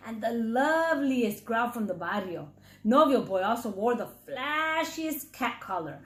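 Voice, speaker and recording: A woman with a high voice reads a story aloud for children. She is expressive and talks straight to her young listeners like a teacher. The room gives her voice a clear echo. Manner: expressive, addresses children directly. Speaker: reading intervention teacher. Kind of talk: children's read-aloud narration with questions to listeners. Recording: echoey room sound.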